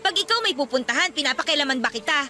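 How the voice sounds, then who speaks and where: Sharp-tongued young filipino girlfriend, radio drama, really bright voice, swoops up and down. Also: high-pitched, animated, fast, faint music behind, heavily acted. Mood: irritated, defensive. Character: feisty young student girlfriend, irritable, teasing, evasive.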